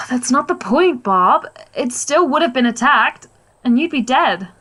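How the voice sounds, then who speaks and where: A blunt, reproachful superhero's wife in a radio drama. Her voice is high and very animated, with a sharp bright edge. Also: measured pace, exaggerated voice. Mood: angry, reproachful. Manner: pointed, reproachful. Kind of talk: radio play acting.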